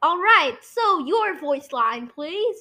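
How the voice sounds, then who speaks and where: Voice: very high-pitched, big pitch swings, slow pace, pushed and bright. A young podcast host, sounding like a child or young teen, running a casual quiz chat and bossily correcting a co-host.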